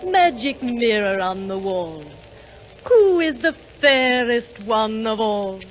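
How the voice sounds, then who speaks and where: A vain, wicked queen of old radio drama, commanding spirits in a high voice. The delivery is an extremely slow, imperious incantation. The sound is a dull, band-limited vintage broadcast. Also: animated, slight background noise. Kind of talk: 1930s radio acting.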